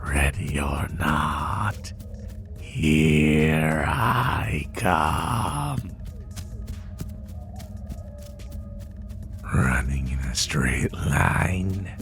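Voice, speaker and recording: A sadistic spider monster played by a man stalks its prey in a horror audio roleplay. The voice is extremely low and crackles with fry, often sinking into a breathy whisper. It draws out its taunts very slowly and with cold menace. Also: lively intonation, fairly bright tone, faint music behind, heavily acted. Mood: gloating. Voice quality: deep breathy, gravelly half-whisper. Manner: slow, taunting, menacing, drawn-out phrases. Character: sadistic male spider monster hunting prey through the woods.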